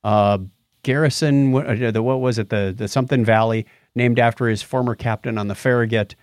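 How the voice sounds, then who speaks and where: A man's voice: mid-range voice, fairly even intonation, a little fast, smooth, no echo. Casual male podcast co-host, mid-range voice, very dry close recording. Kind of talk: casual conversational chat and commentary.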